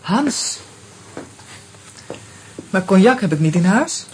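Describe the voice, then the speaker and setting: An actress playing a guarded, bitter ex-wife in a radio drama, speaking in a cool, pointed, sardonic way. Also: female voice, medium pitch, expressive, takes it slowly, slight background noise. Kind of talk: Dutch radio play dialogue.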